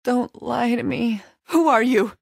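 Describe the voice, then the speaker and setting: A woman's voice: on the high side, unhurried, gravelly, dry recording, clean studio sound. An actress playing a stern, persistent female police detective in a video game, questioning with a firm, probing and dryly sarcastic manner. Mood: pressing, impatient. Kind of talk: dramatic video game dialogue.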